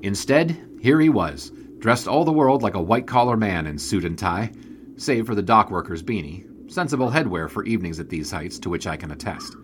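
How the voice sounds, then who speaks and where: A man plays a 1920s sports reporter with a low voice and a dry, sardonic turn of phrase. He is wordy and wry, in the manner of an audio drama narrator. Ambient noise sits behind him. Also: animated, fairly quick, natural voice. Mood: wry, observant. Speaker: narrator and lead character.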